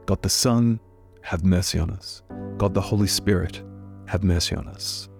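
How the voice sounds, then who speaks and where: A deep-voiced man, hoarse with a head cold, gravelly and low as he leads liturgical prayer in an earnest, unhurried way. Soft instrumental music sits faintly behind him. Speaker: church minister hosting a prayer podcast. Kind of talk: leading liturgical prayer and spoken reflection.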